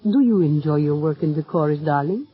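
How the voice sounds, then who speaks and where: An actress playing a proud, passionate, middle-aged opera diva with a grand theatrical manner in a 1940s radio drama. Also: female voice, deep voice, expressive, fairly quick, faint music behind. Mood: affectionate. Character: proud, imperious, passionate female opera diva, secretly a mother. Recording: muffled vintage radio recording.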